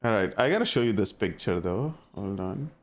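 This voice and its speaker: A man's voice: on the low side, expressive, a little fast. A young man from India with an Indian accent, chatting casually with his live-stream viewers and reacting to their messages.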